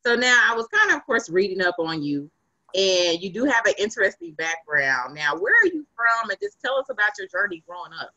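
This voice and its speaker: A woman hosts an interview over a video call, talking with an upbeat, excited lift. Her voice is bright and swings widely in pitch. The webcam audio sounds dry and a bit dull. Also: a little fast, no echo. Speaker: host.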